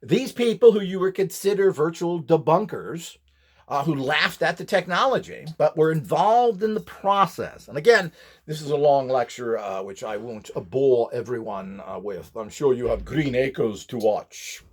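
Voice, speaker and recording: Animated, higher-pitched man lecturing informally. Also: moderate pace, breathy edge. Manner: opinionated, blunt, sarcastic asides. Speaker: instructor presenting a build tutorial.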